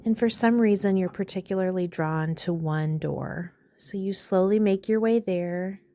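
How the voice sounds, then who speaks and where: A woman leading a guided meditation in a calm, soothing, unhurried way, pausing between phrases. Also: medium pitch, a little hiss or hum.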